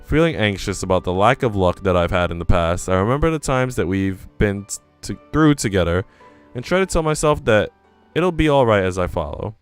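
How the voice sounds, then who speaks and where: A man's voice: on the low side, lively intonation, a little fast, smooth, faint music behind. A man reading visual novel narration aloud in a live, casual way, now and then restarting a line.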